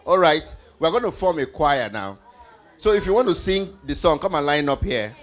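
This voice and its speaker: Higher-voiced man leading children's questions. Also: sing-song, normal speed, faint music behind. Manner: encouraging, instructive, repeats answers and prompts with questions. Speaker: host leading children's Bible trivia. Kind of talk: interactive children's teaching on stage. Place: event stage before a group of children.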